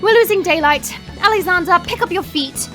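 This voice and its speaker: A woman's voice: very high-pitched, fairly quick, bright, forceful tone, soft background music. A woman playing a proud, guarded dragon princess in human form, speaking in a formal, imperious way in an audio fantasy drama. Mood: stern, commanding.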